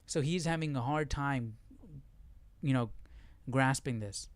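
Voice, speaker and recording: A man's voice: medium pitch, a little slow, no room sound. Casual man leading study, dry close sound. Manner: conversational, seeks agreement with tag questions. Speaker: Bible study host. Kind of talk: casual Bible study commentary with verse reading.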